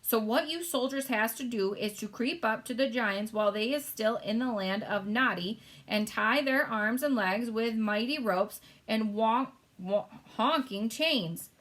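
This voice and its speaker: A woman playing a friendly giant who jumbles words, raised pitch, storybook read-aloud. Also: measured pace, hardly any pauses, a bit bright. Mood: cheerful. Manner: jumbled mixed-up words, cheerful. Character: big friendly male giant, muddled talker.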